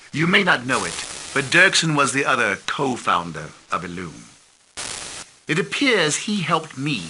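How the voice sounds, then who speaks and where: An actor playing a formal, grave intelligence chief who briefs a commander in a game cutscene, measured and dropping low at the ends of lines. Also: male voice, gravelly, sharp tone, slight background noise, heavily acted, rough recording. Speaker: mission commander on a video link.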